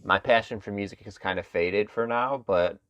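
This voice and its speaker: A man hosting a podcast who asks thoughtful questions in a halting way, full of fillers and restarts. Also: fairly low pitch, fairly bright tone, clean tone, no room sound, muffled recording. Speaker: podcast host. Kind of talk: interview conversation.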